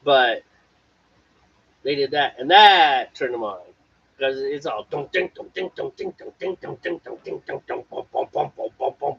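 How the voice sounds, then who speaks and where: A man co-hosting a homebrewing stream, telling stories in a playful, animated way and mimicking other people. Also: medium pitch, a little slow, vocal fry. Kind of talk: casual chat. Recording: lo-fi, dull livestream audio.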